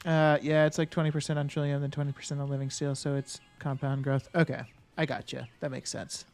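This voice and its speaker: A man's voice: on the high side, level tone, fairly quick, vocal fry, a little mellow, soft background music. A man live-streaming a game, chatting casually with viewers. He thinks aloud and answers chat with lots of fillers and restarts.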